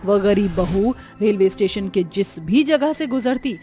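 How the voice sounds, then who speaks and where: A woman's voice: mid-range voice, expressive, a little slow, mellow, soft background music. A woman storyteller reading a scripted moral story as narration.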